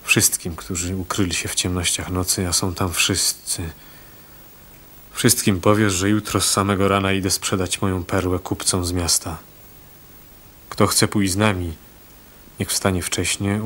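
A man's voice: low-pitched, level tone, unhurried, pauses often, fairly bright tone. An actor playing a solemn, resolute village fisherman in a radio drama, on a faintly noisy recording. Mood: solemn resolve. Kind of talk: Polish radio drama acting.

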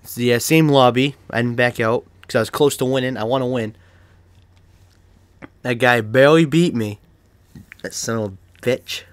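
A man's voice: medium pitch, normal speed, pauses often, no room sound. A young man with a Boston accent giving casual gameplay commentary, cursing, laughing and yelping when surprised. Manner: excitable, swears and laughs often, yelps when startled. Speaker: gaming video creator.

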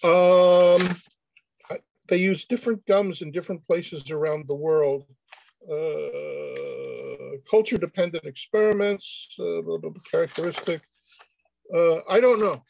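A man on a panel answering questions informally in a halting, hesitant way. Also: a little high, lively intonation, vocal fry, darkish tone, slight background noise, poor audio. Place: indoor room on a video call.

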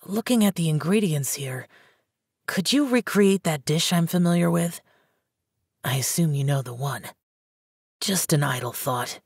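Game role: aloof young male immortal, low-pitched and husky, terse and solemn. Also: expressive, unhurried, long pauses, darkish tone, dry recording, clean studio sound, heavily acted. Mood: aloof, musing. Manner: terse, reserved, solemn, formal phrasing. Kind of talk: English video game character dialogue.

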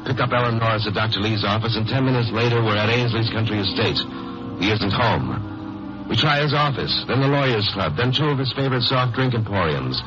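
An actor playing a wry, wisecracking lawyer-sleuth in a tongue-in-cheek 1950s radio crime drama. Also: male voice, fairly low pitch, natural voice. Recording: muffled old radio broadcast, music behind.

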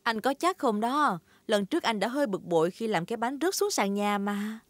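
A woman voicing a kind, patient, gently teasing mother pig in a children's cartoon dub, with an instructive manner. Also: fairly high pitch, expressive, moderate pace, no room sound, lightly acted.

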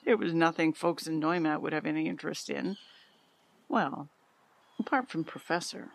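Middle-aged mother, low husky voice, candid, troubled, confiding, audio drama. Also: normal speed. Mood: fond, reflective. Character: candid, troubled mother confiding about her peculiar son. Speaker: interviewee.

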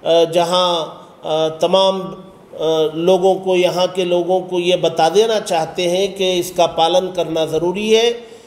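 A man in his fifties with an Indian accent, giving a press statement as a cleric in a halting, measured way. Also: high voice, fairly even intonation. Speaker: cleric speaking at a press conference. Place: bare indoor room with hard walls. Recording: echoey room.

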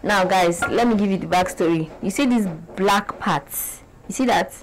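A woman's voice: medium pitch, normal speed, slight background noise, rough recording. Chatty young Nigerian woman vlogging. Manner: animated, chatty, gestures a lot. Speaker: beauty vlogger. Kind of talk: casual direct-to-camera vlog. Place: small bright indoor room.

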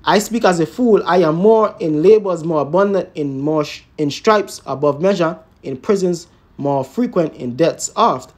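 A man's voice: fairly high pitch, normal speed, a little mellow. A man teaching scripture in an emphatic, informal way, peppering his talk with tag words and rhetorical questions. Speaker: religious teacher.

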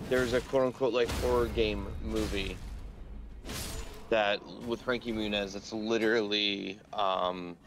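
A man's voice: fairly low pitch, fairly even intonation, measured pace. A young man chatting casually with friends, rambling and full of fillers. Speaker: friend on voice chat. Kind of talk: casual chat.

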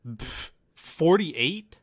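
A man's voice: a little high, animated, very slow, breathy edge. A man doing a sarcastic, foul-mouthed comedic dub of a video game. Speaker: parody dubber voicing the game's protagonist. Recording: loud music behind the voice. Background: game music.

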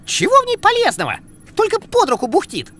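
A man's voice: very high voice, huge pitch swings, fairly quick, full character voice. A man voicing an impatient, hot-headed young rabbit in a cartoon, brash and colloquial. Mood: irritated. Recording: loud music bed behind.

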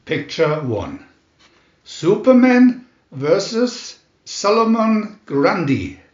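Slow, high-pitched man announcing in echo. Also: very animated, darkish tone. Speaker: booklet reader and channel host. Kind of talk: slow announcer-like scripted narration for children. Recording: roomy echo, dull lo-fi sound.

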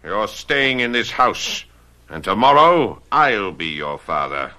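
An actor playing a cruel, vengeful middle-aged master of the house in a radio drama, menacing and commanding. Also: male voice, fairly low pitch, sing-song, takes it slowly, pushed and bright, exaggerated voice. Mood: menacing, scornful.

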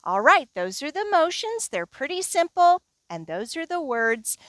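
Expressive, slow-paced woman, storytime leader. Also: sits high. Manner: expressive, deliberate, simple phrasing for young children. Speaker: children's library storyteller. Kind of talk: children's storytime reading and instruction.

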